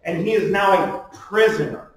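Heard from a distance in a very reverberant church room, a man delivers a sermon. His voice sits high for a male speaker. He preaches emphatically, leaning on rhetorical questions and calls for agreement. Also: moderate pace. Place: small church sanctuary, at a wooden pulpit indoors. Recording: distant, very echoey room sound.

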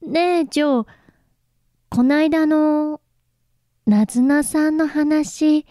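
Shy young woman, high and mellow. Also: steady tone, slow, dry recording, clean studio sound. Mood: wistful. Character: shy, lovelorn young woman, soft and wistful. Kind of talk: radio drama acting with first-person narration. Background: faint instrumental music.

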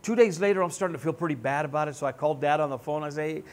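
A man in his sixties preaching a sermon, animated and joking, on a church stage. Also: on the high side, very fast. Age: 60s. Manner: animated, joking, gestures broadly while seated. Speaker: pastor. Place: church stage with band gear.

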